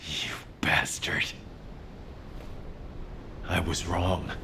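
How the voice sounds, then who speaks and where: A man playing an earnest, resolute military leader in a video game cutscene, speaking in a measured, solemn way. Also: sits low, vocal fry, bright, forceful tone, slight background noise, full character voice. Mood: bitter, low. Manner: measured, solemn, deliberate delivery. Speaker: video game character actor.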